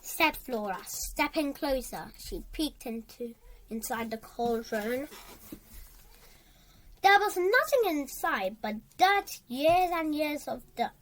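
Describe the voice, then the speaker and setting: A young child reads a storybook aloud in a high voice that swoops expressively. The pace is slow and halting, with words sometimes repeated or corrected. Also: lively intonation, a bit bright, poor audio. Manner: halting, stumbles and rereads words. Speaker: storybook reader.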